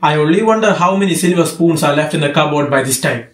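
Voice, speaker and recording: An irritable, well-off father in a radio play, acted by a man. His voice sits high for a man and rings in an echoey room. He is stern and blustery. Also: expressive, quick pace, close to an everyday voice. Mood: angry scolding. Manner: scolding, blustery. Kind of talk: amateur student radio drama acting.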